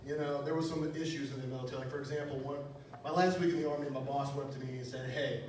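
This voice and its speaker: A man's voice: mid-range voice, fairly even intonation, very fast, darkish tone. Young man doing crude stand-up, echoey room. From Wisconsin. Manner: crude, self-mocking jokes with frequent "you know". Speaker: stand-up comedian. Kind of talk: stand-up comedy set. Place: small club stage, echoey room. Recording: echoey room, distant mic, faint noise.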